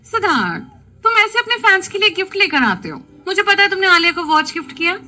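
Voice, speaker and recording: A woman's voice: very high voice, expressive, a little fast, a bit bright, faint music behind, pitched above the performer's own voice. A woman doing a gushing, clingy college girl. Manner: gushing, fawning.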